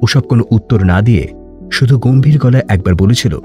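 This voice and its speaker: A man's voice: medium pitch, brisk. A man narrating an audio love story in a dramatic, emotive, literary style. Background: background music.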